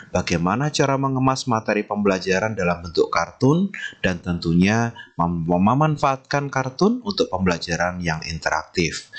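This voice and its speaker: Man, low-pitched voice, trainer, reads out slide points, polite lecture tone.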